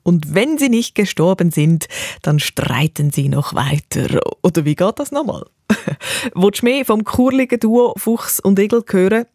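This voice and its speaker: A woman's voice: lowish voice, a little mellow, no room sound, studio quality. A woman with a Swiss German accent hosting a children's podcast, addressing young listeners in a friendly scripted style. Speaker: podcast host.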